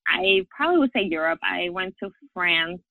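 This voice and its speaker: A woman from Puerto Rico, a life coach and podcast guest, answering questions in a relaxed, upbeat way and laughing often. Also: fairly low pitch, normal speed, gravelly, dry recording. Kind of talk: casual interview answers. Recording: tinny phone-like line.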